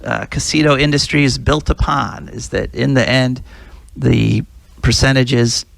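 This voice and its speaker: Very gravelly male radio host. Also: medium pitch, fairly even intonation, a little slow, a bit bright, no room sound. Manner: easygoing, reads sponsor notes, prompts his co-host. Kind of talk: casual radio chat.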